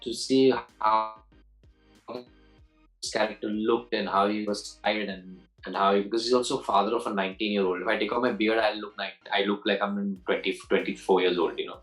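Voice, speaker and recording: A man's voice: on the low side, fairly even intonation, normal speed, fairly bright tone, faint music behind, poor audio. A man in his forties, an actor answering interview questions in a loose, rambling way, often restarting and repeating words, with easy laughs. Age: 40s.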